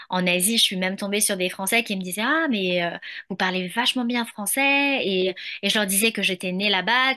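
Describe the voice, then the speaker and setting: A woman's voice: medium pitch, bright, forceful tone. A young French woman talking in an interview, hesitant, with many fillers and restarts. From France. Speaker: podcast creator, guest. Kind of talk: casual interview conversation.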